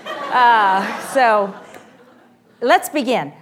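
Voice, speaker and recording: A woman in her forties with a loud voice, giving a lively, joking inspirational talk to a women's morning gathering. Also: on the high side, extremely slow, slight background noise, rough recording. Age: 40s. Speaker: guest speaker.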